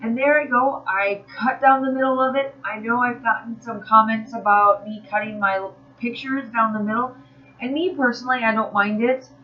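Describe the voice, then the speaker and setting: A woman's voice: fairly high pitch, fairly even intonation, normal speed, lo-fi sound. A woman hosting a crafting channel, chatting casually in a voice-over while she walks through her work, a little nasal from allergies and rambling with fillers and restarts. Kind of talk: casual voice-over narrating a crafting process video.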